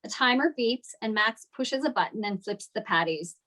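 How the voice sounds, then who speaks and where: A woman with a medium-pitched voice narrates stage directions. She reads from a script in the plain manner of a table read. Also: expressive, moderate pace, sharp tone.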